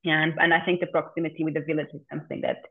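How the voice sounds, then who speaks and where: Female interview guest, casual and halting delivery with repeated words, thin phone-band audio. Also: low-pitched, little pitch movement. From Portugal. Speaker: interview guest, community land steward. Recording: narrow phone-like call audio.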